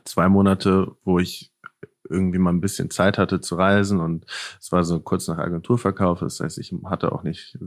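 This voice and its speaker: A man's voice: sits low, level tone, normal speed, dry recording, studio quality. A young man from northern Germany, a founder answering interview questions casually and reflectively, often searching for words. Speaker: podcast guest, founder.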